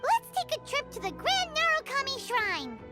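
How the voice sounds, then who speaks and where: A woman's voice: extremely high pitch, huge pitch swings, normal speed, bright, forceful tone, soft background music, exaggerated voice. An actress voicing a tiny, chirpy female fairy companion who refers to herself by name. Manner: bouncy, speaks of herself by name. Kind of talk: video game voice acting.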